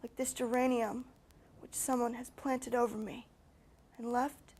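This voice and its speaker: A woman performing a dramatic poem as a forsaken lover grieving from the grave, drawn-out and anguished. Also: fairly high pitch, little pitch movement, a little mellow, poor audio. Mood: anguished, mournful. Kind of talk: dramatic poetry reading by drama student.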